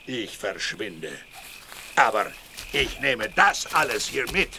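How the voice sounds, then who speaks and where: Gravelly, slow villainous medicine man. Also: mid-range voice, big pitch swings, sharp tone, slight background noise, exaggerated voice. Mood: grudging. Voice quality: gravelly, growling. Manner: menacing, drawn-out delivery. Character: villainous fraudulent medicine man, vengeful, growling. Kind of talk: children's audio play acting.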